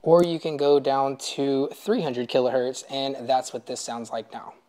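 A man's voice: medium pitch, flat delivery, normal speed, warm tone. A man in his thirties hosting a camera gear tutorial, explaining settings step by step in a friendly way, recorded on clip-on wireless mics in a home living room. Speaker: host of a filmmaking gear channel.

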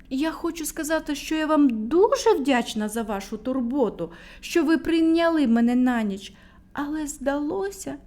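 A woman's voice: high voice, darkish tone, voice pushed up. A woman voicing a delicate, polite young princess in a children's storybook reading.

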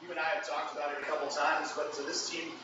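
A man hosting a post-game press conference, asking questions in a smooth announcer delivery. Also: fairly high pitch, flat delivery, fast, muffled recording. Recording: echoey room, far off-mic, noisy.

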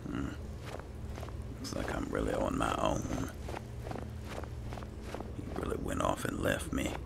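Uomo dalla voce profondissima e molto roca. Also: male voice, a bass voice, slow pace, vocal fry, noisy background. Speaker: gaming channel host role-playing as an alchemist. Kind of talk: slow, musing in-character game roleplay commentary. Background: game music.

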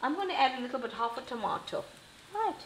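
A woman's voice: sits high, big pitch swings, fast talker. Chatty middle-aged woman cooking in kitchen. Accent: South African Indian English. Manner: chatty, reassuring, talks while cooking. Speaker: cooking show host. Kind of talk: casual cooking demonstration. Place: home kitchen, indoors. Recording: echoey kitchen with frying noise.

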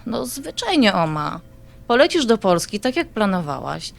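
A woman's voice: mid-range voice, very animated, moderate pace, gravelly. A woman playing an affectionate adult granddaughter in an audio drama, talking in a coaxing, familiar way. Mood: persuasive.

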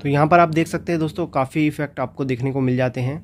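A man's voice: on the high side, quick pace. A man hosting a casual step-by-step tech tutorial, often addressing his viewers as friends. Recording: steady background noise and ambience.

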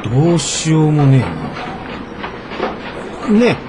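An actor playing a flustered, grumbling office worker in a naturalistic radio drama. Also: male voice, fairly high pitch, animated, slow pace, noisy background. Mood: puzzled, downbeat. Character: flustered, grumbling adult male office worker, once class leader.

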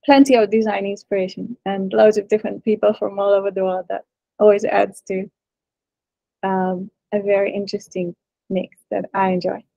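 A woman speaks from her living room over a video call, pausing with fillers as she thinks aloud. The sound is dry and close, with a middle-range voice. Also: mid-range voice, little pitch movement, gravelly, dark tone, dry recording, lo-fi sound. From Hungary. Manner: thoughtful, hesitant, many fillers. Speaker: prospective student. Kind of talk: casual introduction on a video call. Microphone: video call laptop mic.

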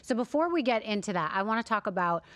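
A woman hosting a podcast in a studio, reading a prepared intro and then chatting warmly, quick to laugh and affirm her guest. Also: medium pitch, sing-song, no room sound. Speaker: podcast host. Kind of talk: scripted intro then casual interview chat. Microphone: studio microphone on boom arm.

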